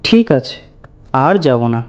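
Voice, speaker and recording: A man's voice: mid-range voice, very animated, takes it slowly, a little mellow. A man playing a timid, polite village boy in a cartoon story, hesitant and meek. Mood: innocent, curious. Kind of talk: dramatized cartoon dialogue.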